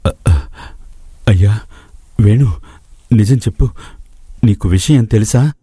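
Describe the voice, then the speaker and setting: A man's voice: fairly low pitch, slow pace, dark tone, slightly rough, dry recording, exaggerated voice. A man playing an anxious, loving village farmer father, speaking hesitantly in a dramatized moral story. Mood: agitated.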